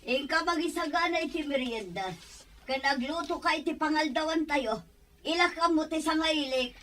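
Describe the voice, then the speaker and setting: A woman's voice: high voice, moderate pace, a bit bright. A woman playing a bossy, scheming middle-aged mother-in-law in an Ilocano-accented audio drama.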